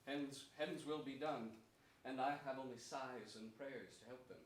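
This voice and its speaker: A young man playing a gentle, melancholy captive king, declaiming earnest Shakespearean verse in a staged reading. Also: medium pitch, moderate pace, close to an everyday voice. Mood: sorrowful, gentle. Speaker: stage actor. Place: large indoor theater stage before an audience. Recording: echoey theater, distant microphone, some noise.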